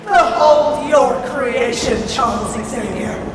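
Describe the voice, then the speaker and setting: A woman's voice: a little high, slow. A woman playing a monstrous, vengeful accuser in an audio drama, grand and declamatory. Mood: grand menace. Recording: cavernous reverb, distant, loud background noise.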